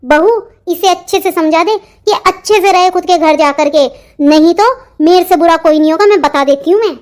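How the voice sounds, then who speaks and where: A very high, almost childlike voice from a woman plays a stern middle-aged mother in a cartoon family drama. She nags, orders and threatens, bossy and melodramatic in her delivery. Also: moderate pace, a little mellow. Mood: stern, warning. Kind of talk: melodramatic Hindi cartoon dialogue.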